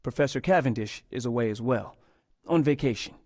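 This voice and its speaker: A man's voice: medium pitch, fairly quick, darkish tone, no room sound, clean studio sound, lightly acted. An actor playing an earnest university scientist in a video game, polite and a little hesitant as he explains things. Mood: worried. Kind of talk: video game dialogue acting.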